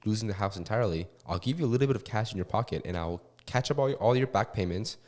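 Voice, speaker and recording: A young man in his twenties talks as a guest in a relaxed interview. His voice sits very low, and he rushes through his answers with lots of restarts and fillers. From Korea and California. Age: 20s. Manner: fast, halting, with many restarts and fillers. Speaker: guest, real estate investor. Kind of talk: casual interview talk.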